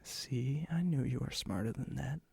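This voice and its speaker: A man playing a charming, ancient vampire and hopeless romantic in a soft-spoken, often whispered ASMR roleplay, slow, intimate and courteous, with a breathy, husky voice. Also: mid-range voice, no echo, heavily acted. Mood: flirtatious, courteous. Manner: slow, intimate, teasing, old-fashioned courtesy. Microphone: close mic, dry sound.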